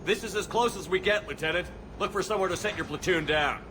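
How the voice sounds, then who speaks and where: Cool-headed military commander played by a man, slightly high-voiced. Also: fast talker, noisy background, close to an everyday voice. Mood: firm, resolute. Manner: terse, firm. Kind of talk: video game cutscene acting.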